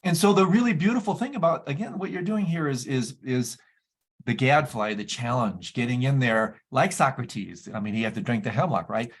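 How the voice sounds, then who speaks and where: A man's voice: medium pitch, normal speed, slightly rough. A male interview host who speaks effusively and with enthusiasm about his guest's ideas. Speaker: podcast host. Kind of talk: conversational interview.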